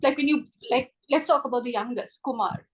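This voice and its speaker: A woman's voice: on the high side, fairly quick, breathy edge. Chatty woman reviewer on a video call. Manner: chatty, animated, frequent "right?" and "you know". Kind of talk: webinar book discussion.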